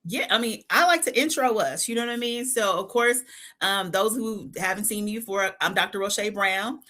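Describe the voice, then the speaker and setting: Bright energetic woman from Oakland. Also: mid-range voice, moderate pace. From East Oakland, California. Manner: energetic, laughs often, repeats points. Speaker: financial psychologist, co-host. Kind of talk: live stream chat.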